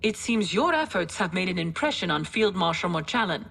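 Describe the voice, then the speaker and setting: A woman's voice: lowish voice, very animated, brisk, vocal fry, fairly bright tone, breathy edge, exaggerated voice. An actress playing a polite female staff officer, giving a formal, courteous mission briefing for a video game cutscene.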